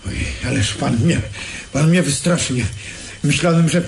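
An actor playing a servile, easily flustered prison guard in a radio drama, stammering and deferential. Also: male voice, on the high side, a little slow, vocal fry, slight background noise. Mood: flustered, anxious.

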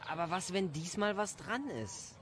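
Man acting a higher-voiced, worried village husband. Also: lively intonation, normal speed, a bit bright, a little hiss or hum. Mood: skeptical, uneasy. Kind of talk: dramatized audio story.

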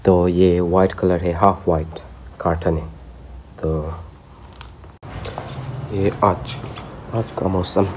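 A teenage boy with a low voice and a sore throat from a cold talks casually into a phone held at arm's length. He chats to his viewers with a relaxed, friendly patter. The sound is dull and lo-fi, with a faint hiss underneath. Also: extremely slow, pauses often. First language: Persian. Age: teens. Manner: chatty, addresses viewers as guys. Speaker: vlogger. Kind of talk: casual vlog talk to camera. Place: home rooms and outdoors.

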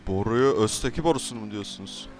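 A man's voice: fairly low pitch, fast talker, over music. A man bantering confrontationally as he trolls other players in an online roleplay game, with game car noise behind him. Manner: teasing, confrontational. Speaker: YouTuber playing a roleplay game.

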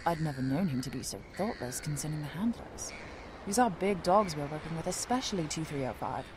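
Casting: actress for haughty, ambitious young female researcher, lowish voice, precise delivery, audio drama with noisy ambience. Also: lively intonation, brisk. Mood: uneasy. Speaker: actress, lead narrator of the episode. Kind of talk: scripted audio drama, first-person narration and dialogue.

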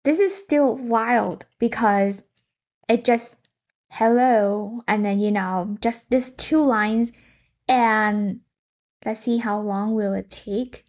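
Young woman, tech tutorial host; slow, easygoing delivery, talking to a webcam indoors. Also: on the high side, steady tone, darkish tone. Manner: thinking aloud, reacting to results. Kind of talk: casual screen-share tutorial. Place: indoor room.

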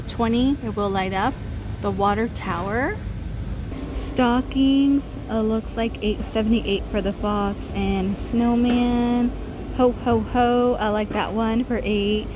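A woman vlogger chatting casually as she films a store walkthrough on a handheld phone, reading out prices and laughing softly. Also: a little high, steady tone, a little slow, noisy background. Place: large retail store, indoors. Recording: store music over the voice. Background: holiday music playing in the store.

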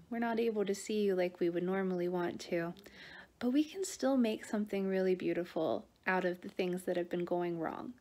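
A woman's voice: medium pitch, warm tone. A woman in her thirties speaking to children as a storytime reader, recorded at arm's length in a living room. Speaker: storytime reader, prevention services worker. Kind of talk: gentle address to children and picture-book reading. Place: small living room, on a couch. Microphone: phone or camera at arm's length.

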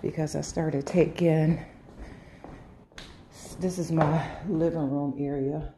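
A woman vlogger talking casually to her viewers in a halting, unscripted way, often circling back on herself as she shows her house. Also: sits low, fairly even intonation, noisy background. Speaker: home and lifestyle vlogger. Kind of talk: casual chat to viewers during a house tour. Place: inside a house, walking between rooms and sun porch.

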